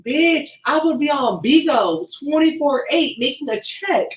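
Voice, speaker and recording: Woman, podcast co-host, expressive delivery, blunt slangy banter, cursing, a bit far from the mic. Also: high voice, measured pace. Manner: blunt, slangy, foul-mouthed. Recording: echoey room, distant from mic.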